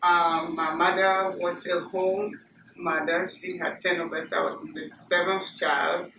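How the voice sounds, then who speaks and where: A middle-aged woman from Ghana with a Ghanaian accent, recalling her childhood in a measured, plain way with frequent fillers. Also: fairly low pitch, flat delivery, bright, forceful tone. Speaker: interviewee. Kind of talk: oral history interview. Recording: echoey room, distant mic, noisy.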